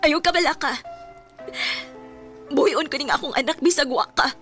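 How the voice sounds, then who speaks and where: A woman voices a distraught young student in a radio drama. Her voice sits very high, and she pleads with her boyfriend through tears before turning resolute, all over soft music. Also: normal speed, close to an everyday voice. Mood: tearful. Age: young adult. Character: young pregnant woman, student, hurt girlfriend.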